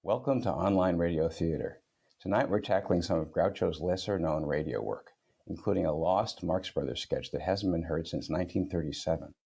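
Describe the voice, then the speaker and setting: A man with a gravelly, husky voice giving a scripted introduction as a show's director and host. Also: sits low, moderate pace, mellow.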